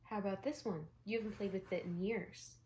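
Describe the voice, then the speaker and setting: A woman's voice: medium pitch, steady tone, a little fast, background noise, close to an everyday voice. A woman doing a bossy, fed-up mom. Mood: scolding. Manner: firm and scolding. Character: exasperated bossy mother.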